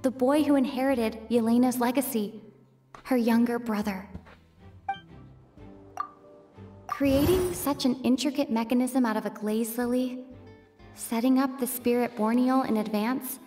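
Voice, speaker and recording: A composed young woman reasons things through in a fairly high, articulate voice, acted by a woman. Her delivery is deliberate anime game acting with faint music underneath. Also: mellow, lightly acted. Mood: resolute, deducing.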